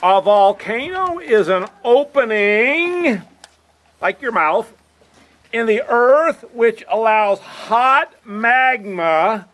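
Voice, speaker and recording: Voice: medium pitch, lively intonation, drawn-out words, a bit bright. A puppeteer voicing a cheerful train conductor in a children's puppet show, talking to the kids in an explanatory, sing-song way. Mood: explaining, excited.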